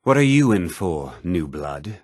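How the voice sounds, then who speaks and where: An actor voicing blunt, haughty men of a fantasy game world. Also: male voice, deep voice, very animated, a little slow, darkish tone, no echo, studio quality, exaggerated voice. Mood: gruff, blunt. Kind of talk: fantasy game character dialogue.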